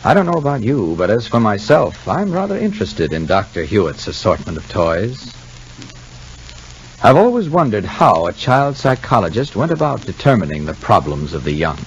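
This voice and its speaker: A man's voice: lowish voice, very animated, normal speed, lo-fi sound. A man narrating and announcing from a script in the style of 1950s radio. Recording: faintly noisy old radio recording.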